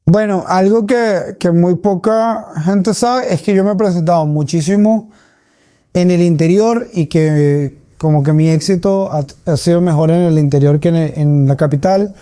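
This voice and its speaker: A man's voice: high voice, moderate pace, dark tone, clean tone, no echo, studio quality. A man with a Caracas Venezuelan accent giving reflective, conversational answers as a comedian being interviewed.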